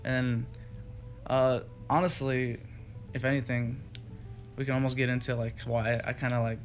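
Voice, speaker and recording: A man's voice: medium pitch, level tone, normal speed. Rambling young Texan man. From Texas. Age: young adult. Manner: rambling, many fillers and restarts. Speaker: guest musician. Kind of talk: casual interview chat. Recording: radio studio with faint music behind.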